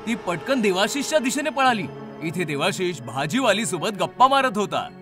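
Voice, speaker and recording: Guy reading a scary story dramatically, kinda high voice, music under it. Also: big pitch swings, normal speed. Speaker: story narrator. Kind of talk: scripted horror story narration.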